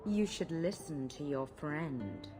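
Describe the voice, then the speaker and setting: A woman's voice: sits low, animated, takes it slowly, over music. A woman playing a sly, seductive courtesan and blood mage in a video game, with a languid, coy manner. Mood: coy, teasing. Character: seductive, sly female courtesan who practises blood magic.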